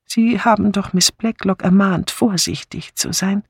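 A man voicing a friendly, chatty old lady in an audiobook, gentle, polite and curious. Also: sits high, a little slow, gravelly, slightly rough, dry recording, clean studio sound, voice pushed up, exaggerated voice. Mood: gently insistent. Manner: gentle, polite, slightly gossipy.

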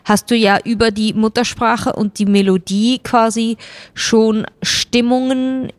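A woman's voice: on the high side, little pitch movement, a little slow. A woman in casual, reflective conversation as an interviewer, explaining her thoughts carefully.

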